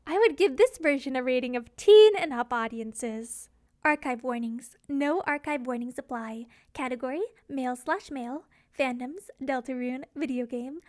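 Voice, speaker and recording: A woman narrates in a very high register. She reads scripted fan fiction as a storyteller, with a dramatic delivery. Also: moderate pace, a little mellow.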